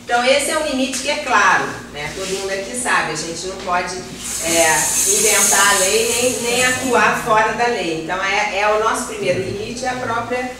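A Brazilian woman lectures informally from across a very echoey classroom, picked up by a distant camera over clear background noise. She speaks hesitantly and modestly, often repeating small words as she looks for the right phrase. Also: medium pitch, expressive, normal speed. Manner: hesitant, self-deprecating, repeats words while searching. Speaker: guest lecturer, environmental civil servant. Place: classroom with projector, indoors. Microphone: far camera. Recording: roomy, noisy classroom recorded from far off.

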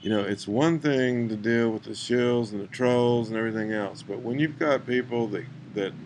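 A man giving unscripted screen-recording commentary, halting and rambling, with an aggrieved manner. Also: medium pitch, a little mellow. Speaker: video maker, commentator. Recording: clear background noise.

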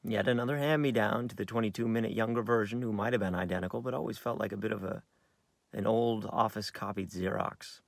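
An American actor in his thirties playing a bitter, wounded screenwriter in a theatrical, halting dramatic monologue. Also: fairly low pitch, fairly even intonation, vocal fry, no room sound. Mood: wounded, brooding. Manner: theatrical, halting, shifting from hushed to outbursts. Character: bitter, wounded screenwriter brooding over his twin brother. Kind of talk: dramatic monologue in a staged reading, on book. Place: inside a parked car.

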